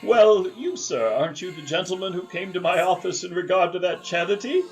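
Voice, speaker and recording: An actor playing an exuberant, reformed old miser in a theatrical family radio drama, exclamatory and effusive. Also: male voice, sits high, fairly quick, soft background music. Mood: warm, eager. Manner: exclamatory, effusive, chuckling, repeats himself. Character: old miser reborn as giddy, exuberant, warmhearted gentleman.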